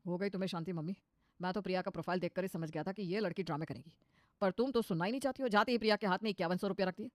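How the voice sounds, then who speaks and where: A fed-up family man, put on by a woman in a cartoon moral story. He grumbles and scolds at a fast pace, and the sound is very dry. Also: on the low side, dry recording, close to an everyday voice. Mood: grumbling. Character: fed-up men of a modest middle-class family.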